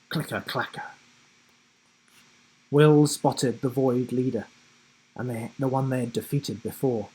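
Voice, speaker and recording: A man reading a children's story aloud as narrator, in an expressive, dramatic way. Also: medium pitch, slightly rough, poor audio.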